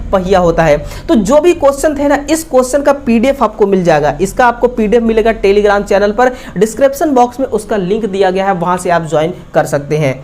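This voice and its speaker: Woman, Indian accent, fairly high pitch, instructive lesson delivery, repeats answers, frequent check-in tags. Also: a little mellow. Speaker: exam coaching teacher. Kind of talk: explanatory exam-review lesson.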